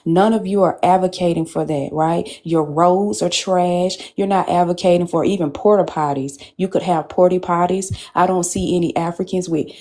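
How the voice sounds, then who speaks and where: A woman's voice: on the low side, steady tone, moderate pace, few pauses, warm tone. A woman, arguing bluntly on a video call and repeating her demands. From Southern US, Georgia. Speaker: debate panelist. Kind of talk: heated online debate.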